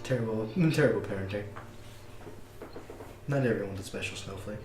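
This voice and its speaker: A man playing a tabletop game with a slow, wry delivery. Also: fairly low pitch. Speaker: player. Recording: echoey room, far from the mic, faint music.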